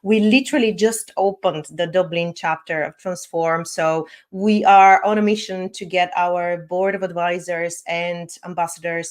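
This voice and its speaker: A woman from Bulgaria introducing herself on an online panel in a brisk, upbeat way over a video call. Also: lowish voice.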